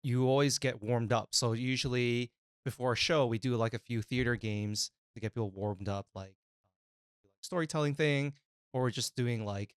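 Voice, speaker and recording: A man talking as a guest on a casual podcast, in a halting, reflective way. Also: mid-range voice, clear, resonant tone, no echo, clean studio sound.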